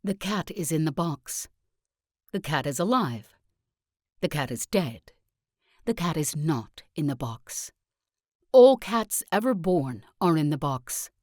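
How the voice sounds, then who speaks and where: A woman voicing a zealous self-help guru and sect leader in a video game, speaking in solemn, deliberate oratory with calm conviction. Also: low-pitched, no echo, clean studio sound, full character voice. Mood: cryptic, intense. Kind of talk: scripted video game character monologue.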